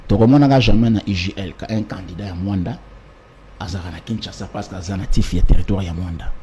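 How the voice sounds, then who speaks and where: Emphatic man with a dark voice. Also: sits low, a little hiss or hum. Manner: emphatic, repetitive, rhetorical lists. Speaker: political party representative. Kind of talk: political interview, mixing French and Lingala.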